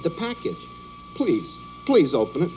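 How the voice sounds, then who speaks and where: Manic delivery boy, very high voice, whiny, obsessive, repetitive, vintage radio comedy. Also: wildly swooping pitch, unhurried, dull audio, a little hiss or hum. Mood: pleading, agitated. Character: frantic, obsessive young package delivery boy, near breakdown. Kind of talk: 1940s radio comedy acting.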